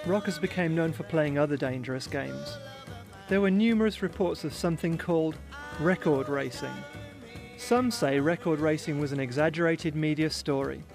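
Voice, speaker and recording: A man giving scripted documentary narration in a measured, even delivery. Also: on the high side, creaky. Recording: music bed playing underneath.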